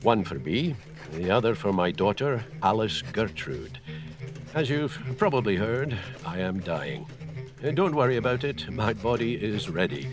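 A man's voice: fairly low pitch, sing-song, unhurried, music in the background. An actor playing an awkward dying father who hesitantly rambles through a letter about his daughter, in comedic audio fiction. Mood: earnest, formal. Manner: hesitant, rambling, fumbling for tactful words.

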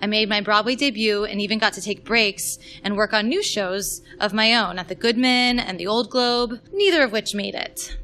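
Bright-voiced woman reading a personal story. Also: medium pitch, moderate pace, a little hiss or hum. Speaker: musical theatre performer. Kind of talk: scripted personal story read aloud.

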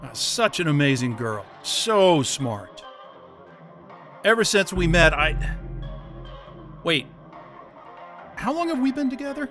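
Brainwashed captive in an audio drama: suggestible, over-eager, bright and strained. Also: male voice, high-pitched, very animated, slow pace, pauses often, faint music behind, full character voice. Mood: meek, dreamy. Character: brainwashed male captive, pliant, eager and dazed.

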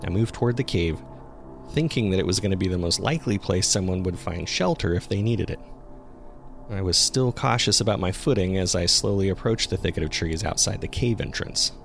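A man playing a jogger who recounts a frightening experience in the woods, as scripted first-person horror narration told in a measured, suspenseful way. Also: low voice, gravelly. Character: local male jogger recounting a terrifying discovery in the woods. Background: ambient sound effects.

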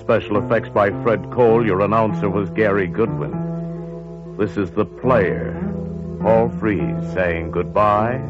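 Man, very low voice, 1940s radio narration, suspenseful delivery, muffled old broadcast recording. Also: slow pace, over loud music. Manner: suspenseful, building to urgent intensity.

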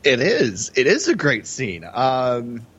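A man's voice: medium pitch, huge pitch swings, slow pace, a bit bright, slightly rough. A man co-hosting a podcast, giving casual analysis of a film. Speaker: podcast co-host. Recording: dull, lo-fi sound.

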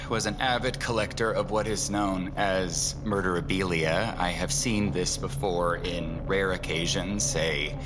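An actor playing a shaken man in an audio drama, haltingly muttering and swearing as he dictates what he observes. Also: deep voice. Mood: wry, measured. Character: shaken man surveying a grisly secret collection. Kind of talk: audio fiction, dictated first-person observations.

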